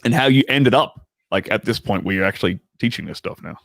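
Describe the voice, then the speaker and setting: A man's voice: fairly low pitch, animated, a little fast, vocal fry, a bit bright, no room sound. A man hosting a podcast interview, upbeat and chatty, asking eager questions. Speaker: podcast host. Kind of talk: casual interview chat.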